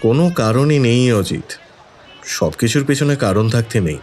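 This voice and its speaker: A man playing a shrewd, calm detective in an audio drama, musing in a deliberate, wry manner. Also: mid-range voice, some chatter behind, lightly acted. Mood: wry, teasing.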